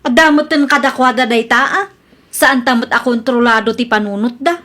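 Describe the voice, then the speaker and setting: A woman's voice: fairly high pitch, fairly even intonation, normal speed, bright, forceful tone. A woman playing a boastful, stubborn, cheerfully bossy wife in an Ilocano comedy radio drama, with an Ilocano Filipino accent. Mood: stubborn, impatient.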